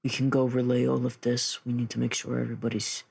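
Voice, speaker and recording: Male actual-play podcaster, gravelly with some fry, earnest and considerate, improvising in character. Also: medium pitch, level tone, quick pace, darkish tone. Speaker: tabletop role-play podcast player. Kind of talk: in-character improvised role-play and casual table talk.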